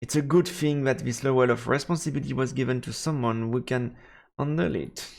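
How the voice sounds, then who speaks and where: Gravelly male streamer. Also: medium pitch, brisk. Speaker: game streamer. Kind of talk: live let's play, commentary and reading aloud.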